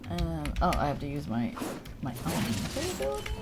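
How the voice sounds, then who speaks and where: Female game streamer, lowish register with animated swings, playful self-muttering and chat banter, clear music underneath. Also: a little fast. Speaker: livestreamer playing a video game. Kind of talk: casual gaming chat with viewers, reacting to play.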